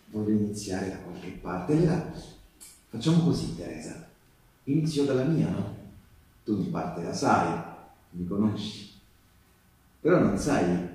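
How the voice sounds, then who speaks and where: Male partisan, low-pitched and tender, halting intimate monologue, heard in a very reverberant room. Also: dark tone. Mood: tender, reflective. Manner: intimate, halting, with dramatic pauses. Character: male wartime partisan tenderly recalling youth in the mountains. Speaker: stage actor performing a monologue. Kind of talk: theatrical monologue, spoken letter to a loved one. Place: live stage performance, recorded from a distance. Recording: distant microphone in a very echoey room.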